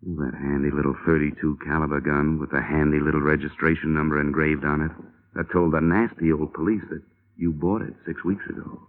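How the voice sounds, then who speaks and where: A man's voice: extremely low pitch, little pitch movement, a little fast. An actor playing a wisecracking male insurance investigator in a 1950s radio drama, with a wry, clipped tough-guy delivery. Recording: tinny old radio broadcast.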